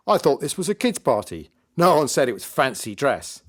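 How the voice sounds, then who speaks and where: A man's voice: mid-range voice, big pitch swings, normal speed, fairly bright tone, voice pushed up. A man voicing a nasty, blustering party magician with sneering showman patter in a children's storybook. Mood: mocking. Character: nasty loud male children's party magician, mocking and cowardly.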